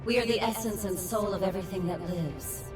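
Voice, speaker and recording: A woman's voice: mid-range voice, a little fast, natural voice. A woman voicing a solemn, otherworldly nature spirit in a fantasy game. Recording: loud music under the voice. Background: loud music.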